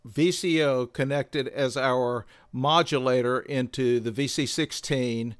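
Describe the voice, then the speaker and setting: An older man giving a measured, methodical instructional demonstration as a tutorial presenter. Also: medium pitch, steady tone, smooth, dry recording, studio quality.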